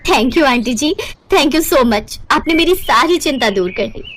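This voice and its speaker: A woman voicing a polite young newlywed in an animated storybook tale, respectful, earnest and eager. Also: sits high, slow, a little hiss or hum, full character voice. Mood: eager, hopeful.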